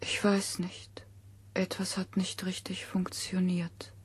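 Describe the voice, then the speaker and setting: An actress playing an injured, dazed young woman in a 1970 radio play, speaking haltingly. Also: on the low side, completely flat delivery, whispered. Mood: dazed, pained. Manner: slow, halting. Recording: noisy old radio recording.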